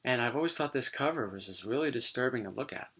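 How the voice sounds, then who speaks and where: A man getting over a cold, with a dry, slightly raspy voice, chatting casually about his comic book collection. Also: medium pitch, fast. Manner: relaxed, rambling, many fillers. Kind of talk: casual show-and-tell chat.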